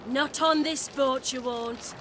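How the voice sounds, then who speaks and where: A woman's voice: high voice, slow, a bit bright, slight background noise. An actress playing a blunt, sardonic female ship captain. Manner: brash and sardonic.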